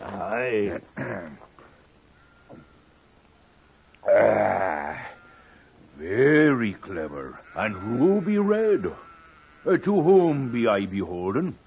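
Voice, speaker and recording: An actor playing a simple, eager shepherd with a husky, creaky voice and speech in a 1950s radio drama. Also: male voice, on the low side, big pitch swings, extremely slow, slight background noise, full character voice. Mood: grateful. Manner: dialect speech, exclaiming. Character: simple, eager rustic English shepherd, easily tempted. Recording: muffled old 1950s radio recording.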